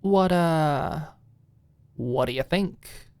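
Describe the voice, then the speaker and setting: A woman's voice: low voice, drawn-out words, a little mellow, no echo, heavily acted. A woman voicing a dry, mock-dramatic young woman who hides her fluster, in an audiobook story. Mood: sheepish, nervous.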